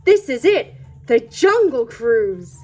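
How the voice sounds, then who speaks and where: A woman's voice: on the high side, big pitch swings, takes it slowly, darkish tone, faint music behind. A woman hosting a read-aloud, reading a children's storybook. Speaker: channel host and story reader.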